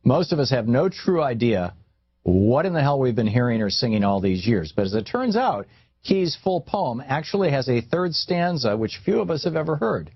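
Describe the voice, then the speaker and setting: A man's voice: mid-range voice, breathy edge. A man hosting talk radio, reading aloud from a newspaper column and commenting on it with emphatic emphasis and conversational asides. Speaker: radio talk show host. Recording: tinny phone-like radio audio, roomy echo.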